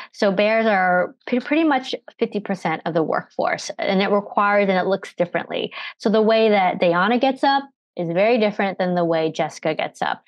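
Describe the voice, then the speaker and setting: A woman's voice: mid-range voice, dry recording. A woman in her thirties, a coach presenting slides on a video call from home, explaining earnestly with rhetorical questions while recovering from a cough. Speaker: energy management coach. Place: home room with bookshelves. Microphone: video call webcam.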